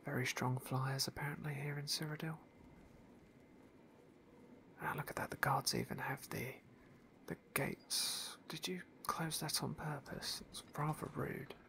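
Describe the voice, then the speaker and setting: A man narrating a game playthrough in a soft, wry ASMR whisper. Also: a little high, steady tone, measured pace. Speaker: ASMR gaming host.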